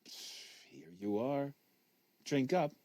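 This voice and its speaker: A man voicing an oddly formal, insistent male interviewer in an audiobook, probing, unctuous and deliberate. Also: mid-range voice, big pitch swings, a little mellow, no echo.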